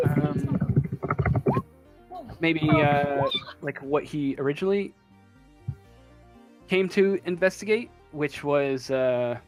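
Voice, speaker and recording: A man narrating a tabletop game casually as game master, improvising in a hesitant, halting way. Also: on the high side.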